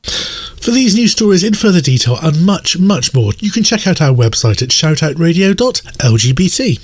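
A man's voice: on the high side, very animated, normal speed, slightly rough, dry recording. A man reading scripted news items as a radio newsreader.

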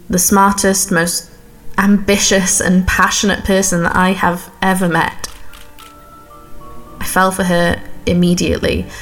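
An actress playing an earnest young woman in an audio drama, speaking haltingly and tenderly. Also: lowish voice. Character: earnest young woman pleading for missing girlfriend. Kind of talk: naturalistic audio drama monologue.

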